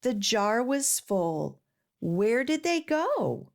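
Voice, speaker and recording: A woman hosting a children's storytime, reading aloud with deliberate, expressive, sing-song phrasing. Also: a little high, gravelly. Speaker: children's storytime host reading picture books.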